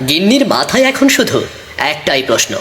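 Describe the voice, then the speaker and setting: Low, animated woman narrating a cartoon. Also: brisk. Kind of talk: scripted comic cartoon story narration. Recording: loud music behind the voice.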